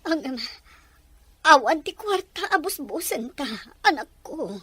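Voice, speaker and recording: A woman's voice: high voice, sing-song, slow pace, sharp tone, dry recording, exaggerated voice. A woman acting a headstrong mother with failing sight in an Ilocano radio drama, in a dramatic manner. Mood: sorrowful.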